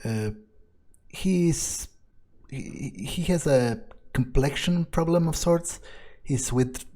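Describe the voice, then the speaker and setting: A man speaking in character during a video game playthrough, in a halting, musing way. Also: a little high, warm tone. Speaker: player voicing his own character in a let's play.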